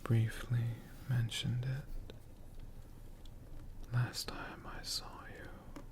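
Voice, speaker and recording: A man playing a friendly, bookish dragon, telling stories in a hushed, whispered and soft-spoken ASMR style with long, deliberate pauses. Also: mid-range voice, level tone. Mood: gentle, fond. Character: friendly male dragon shopkeeper, gentle bookish storyteller. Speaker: ASMR host.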